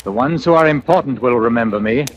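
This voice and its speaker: An actor playing a haunted, secretive strangler in 1940s radio drama, speaking in a halting, terse, menacing way. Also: male voice, mid-range voice, expressive, highs cut off, close to an everyday voice. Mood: quietly menacing. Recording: noisy old radio broadcast.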